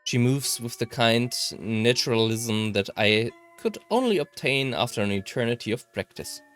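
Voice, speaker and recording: A narrator reading a first-person visual novel story aloud in a measured delivery. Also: mid-range voice, animated, faint music behind.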